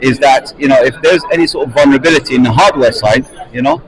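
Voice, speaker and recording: A chatty male interviewer with a middle-pitched voice asks questions outdoors. He often stumbles and repeats words in his eagerness to keep the talk going. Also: fast, a faint crowd, poor audio. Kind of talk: casual conference interview.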